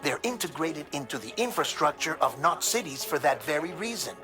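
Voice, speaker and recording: An actor playing an earnest, troubled investigator in a video game, delivering a briefing in a measured, grave and deliberate way. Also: male voice, a little high, very animated. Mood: troubled, solemn. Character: earnest, troubled male investigator reporting findings to a courier. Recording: dramatic music behind the voice.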